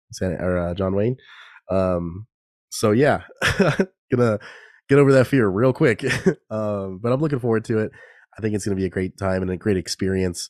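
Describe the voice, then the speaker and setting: A man's voice: low-pitched, darkish tone, dry recording, clean studio sound. A young man hosting a casual solo podcast, stuffed up and a bit nasal from a cold, rambling and laughing at himself. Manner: rambling, self-deprecating, laughs often. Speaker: podcast host. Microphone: new podcast microphone.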